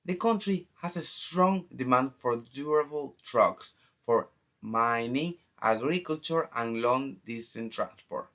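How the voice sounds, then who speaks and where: A young man from Argentina with an Argentine accent, a student giving a formal final project presentation in measured phrases with pauses. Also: mid-range voice, lively intonation, fairly bright tone. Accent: Argentine Spanish. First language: Spanish. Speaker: international trade student. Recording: dull lo-fi recording.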